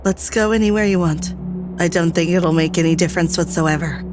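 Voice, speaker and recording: An actress playing a dazed, resigned spaceship crew member with a detached, fatalistic manner in a sci-fi audio drama. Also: female voice, on the low side, fairly quick, noisy background, lightly acted.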